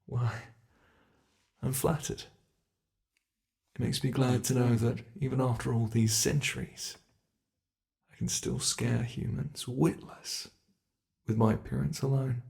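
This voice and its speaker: A gleeful, menacing old monster from under the bed, acted by a man. The voice has a gravelly creak and moves very slowly, slipping toward whispers. It taunts and gloats in the teasing style of an ASMR horror roleplay. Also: mid-range voice, flat delivery, darkish tone. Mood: smug, gloating. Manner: slow, teasing, whispery taunting with drawn-out words.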